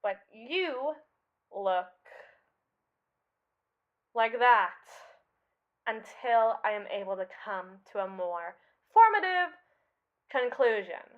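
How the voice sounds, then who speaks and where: A haughty female robot acted by a woman speaks in a fairly high voice. She is pompous and sardonic, hiding jealousy behind formal, wordy phrasing. It is an ASMR roleplay monologue with slightly muffled, lo-fi sound. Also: sing-song, slow, pauses often. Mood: flustered, defensive.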